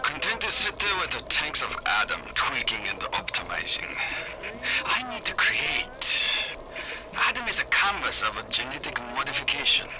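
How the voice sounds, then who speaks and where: A man's voice: medium pitch, very animated, normal speed, background noise, natural voice. An actor voicing an arrogant male scientist, dictating game audio-diary notes in clipped, emphatic broken English. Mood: scornful.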